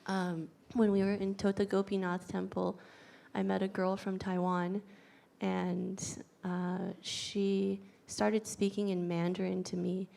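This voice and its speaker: An American woman giving a grateful personal testimony, soft-spoken and steady with small fillers. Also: mid-range voice, takes it slowly, vocal fry. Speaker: pilgrim sharing reflections.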